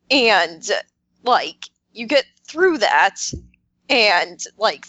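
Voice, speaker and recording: A woman's voice: lowish voice, sharp tone, dry recording. A woman chatting casually as a podcast panelist, telling things in a hesitant, filler-heavy way. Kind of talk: casual roundtable chat.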